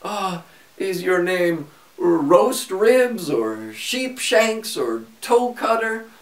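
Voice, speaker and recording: A man's voice: high-pitched, very animated, very slow, voice pushed up, full character voice. A man voicing a worried young queen from a fairy tale who pleads and frets. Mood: anxious. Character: desperate young queen, miller's daughter. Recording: echoey room, far from mic.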